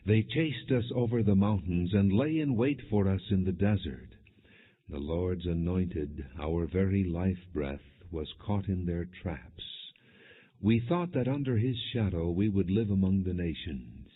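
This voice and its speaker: A man narrating an audiobook, reading scripture in a solemn, measured and dramatic way. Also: sits low, expressive, slightly rough. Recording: tinny phone-like narrow-band sound.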